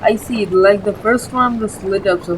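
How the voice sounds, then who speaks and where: A woman's voice: mid-range voice, moderate pace, noisy background. A woman hosting a gaming video with casual, informal, rambling gameplay commentary, often saying "like". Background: game music.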